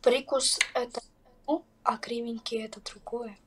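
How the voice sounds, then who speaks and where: A young woman in her twenties with a high voice answers questions in a defensive, flustered way. She giggles often and talks back casually while being filmed. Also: fairly even intonation, moderate pace, noisy background. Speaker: interviewee. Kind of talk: on-camera street conversation.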